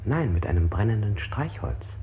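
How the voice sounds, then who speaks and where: Low, mellow voice of a haunted interrogated man. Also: very animated. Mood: uneasy, self-correcting. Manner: deliberate, self-correcting. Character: interrogated man, haunted, recounting and correcting himself. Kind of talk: German radio play acting. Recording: hissy 1960s radio play recording.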